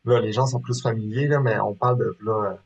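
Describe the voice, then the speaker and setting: A man's voice: fairly low pitch, steady tone, a little fast, gravelly, breathy edge, muffled recording. A man in his thirties from Quebec with a Québécois accent, talking casually as an interview guest, hesitant with many fillers and restarts. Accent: Québécois French. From Quebec, Canada. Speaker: guest, sport shooter.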